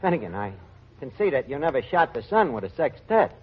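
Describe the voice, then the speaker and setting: A man's voice: mid-range voice, very animated, brisk, background noise, lightly acted. An actor playing a wisecracking tavern manager, sarcastically mangling his grammar in a 1940s radio comedy. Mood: pompously explaining. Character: fast-talking, malapropism-prone wisecracking male tavern manager. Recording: dull vintage radio recording.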